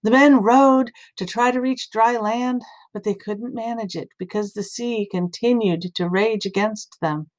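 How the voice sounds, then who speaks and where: A woman reading scripture aloud as expressive, dramatic storytelling narration at a medium pitch. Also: measured pace, hardly any pauses. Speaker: scripture reader.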